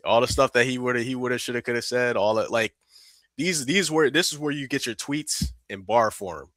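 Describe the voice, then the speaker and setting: Male co-host, laid-back and hedging, bright tone, dry sound. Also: mid-range voice, brisk, no room sound. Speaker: podcast co-host reviewing an album. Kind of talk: casual music review chat.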